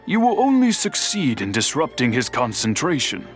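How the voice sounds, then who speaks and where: A man playing an old, patient master swordsmith in a video game, speaking in a measured, formal, lecturing way. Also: mid-range voice, huge pitch swings, soft background music. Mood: calm. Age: older.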